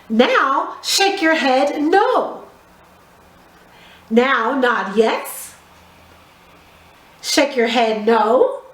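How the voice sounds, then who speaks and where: High, animated woman teaching young children. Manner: slow, clearly enunciated, exaggeratedly expressive delivery for small children. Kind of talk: instructional lesson for young children, leading exercises. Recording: echoey room.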